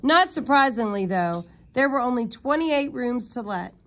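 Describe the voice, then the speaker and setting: Female read-aloud narrator, slightly high voice, expressive children's storytelling with occasional stumbles. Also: moderate pace. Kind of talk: reading a children's novel aloud.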